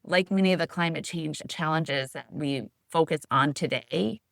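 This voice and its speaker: A woman's voice: low voice, normal speed, gravelly, fairly bright tone, dry recording, clean studio sound. A middle-aged woman co-hosting a podcast interview, talking loosely and thinking aloud with fillers. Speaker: podcast co-host. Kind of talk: conversational interview.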